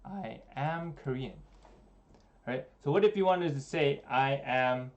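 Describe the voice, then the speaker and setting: A young man in a small room giving a relaxed, chatty livestream language lesson, slowing down to spell out examples. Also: mid-range voice, lo-fi sound. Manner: relaxed, chatty, many fillers, slows down for examples. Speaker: Korean language teacher.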